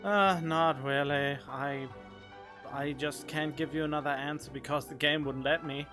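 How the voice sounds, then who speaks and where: A man's voice: on the high side, fairly even intonation, unhurried. A man doing casual, joking let's play commentary and reading dialogue choices aloud. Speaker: gaming streamer. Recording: faint game music behind.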